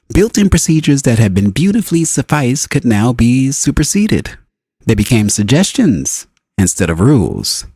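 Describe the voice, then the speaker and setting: A man reading a spiritual book as scripted narration, in measured, emphatic phrases broken by short pauses. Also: medium pitch, a little mellow, husky, no room sound, clean studio sound. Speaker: audiobook reader.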